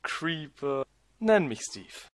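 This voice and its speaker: A man's voice: a little high, sing-song, slow, dry recording, clean studio sound. Wildly swinging, grumpy cartoon hero played by a man. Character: grumpy, excitable young man, blocky game hero. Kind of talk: cartoon fandub acting.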